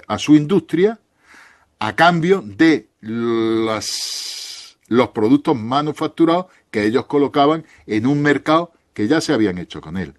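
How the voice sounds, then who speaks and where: A man with a Spain Spanish accent, a guest author arguing points on history emphatically in an interview, often restarting his phrases. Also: medium pitch.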